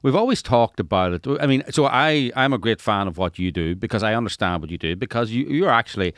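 Low-voiced middle-aged man, dry sound. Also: expressive, fast talker, a bit bright, no room sound. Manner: rambling, anecdotal. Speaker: interviewer. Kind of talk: casual conversational interview.

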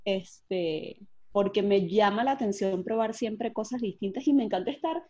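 A woman's voice: medium pitch, moderate pace, no room sound. Chatty woman, dull dry sound. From Venezuela. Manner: lively, chatty, frequent fillers and restarts, laughs easily. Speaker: podcast guest, entrepreneur. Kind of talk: casual interview chat.

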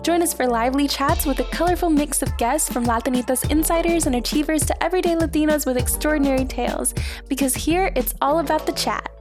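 A woman's voice: high-pitched, fast talker, over music. A young woman from Texas hosting a podcast, chatting casually with an upbeat manner. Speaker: podcast host.

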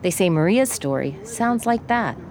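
A woman radio reporter narrating a feature and questioning people outdoors in a measured, probing way. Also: fairly low pitch, expressive, noisy background. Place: outdoors by a flooded park, traffic nearby.

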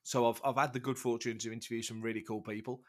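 A middle-aged man with a slightly rough, congested voice from a cold, telling anecdotes casually as a podcast guest. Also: medium pitch, little pitch movement, fast talker. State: getting over a cold, congested. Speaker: podcast guest, fan-site writer and interviewer.

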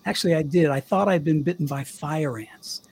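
Husky-voiced older man spinning radio tales. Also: fairly high pitch, moderate pace. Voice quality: young-sounding voice. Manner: chatty, animated storyteller. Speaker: guest, former radio DJ. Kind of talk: casual storytelling interview.

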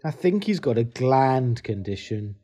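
A man a meek political staffer in a comedy drama. He speaks unhurriedly in a dull, mellow tone, naive and hesitant. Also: mid-range voice, sing-song, a little slow. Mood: earnest, meek. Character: hapless, meek, oversharing male political staffer. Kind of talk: comedy audio drama dialogue.

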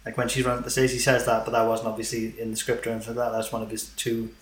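A man with a mid-pitched voice co-hosts a casual podcast about a film. He sounds relaxed, agrees often and shares behind-the-scenes trivia. His voice carries a noticeable room echo. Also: racing. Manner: laid-back, many "yeah" backchannels, shares film trivia. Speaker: podcast co-host.

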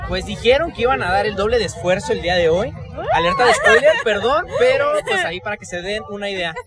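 High-voiced man interviewing in a crowd. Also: animated, fast. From Ciudad Juárez, northern Mexico. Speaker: podcast interviewer. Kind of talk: casual street interview with fans. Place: outdoors in a concert queue. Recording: outdoor recording amid loud crowd chatter.

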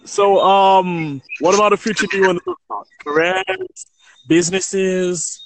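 A man from London in a casual chat, relaxed and rambling with plenty of likes. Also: sits high, measured pace, a little hiss or hum, rough recording. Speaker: chat participant. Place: Saint Lucia.

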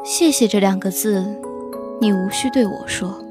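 A woman playing an ageless fox spirit in an audio drama, aloof and wistful, gently teasing with hidden fondness. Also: mid-range voice, moderate pace, over music, close to an everyday voice. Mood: aloof, fond.

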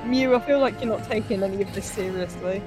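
A woman's voice: medium pitch, fast, music in the background. A woman co-hosting a movie podcast, giving blunt, sweary, casual commentary on a film.

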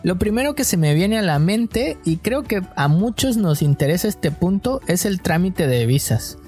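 A man with a Mexican coastal accent co-hosting a podcast, chatting casually and telling stories in a relaxed, slangy way. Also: high-pitched, normal speed, soft background music. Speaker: podcast co-host.

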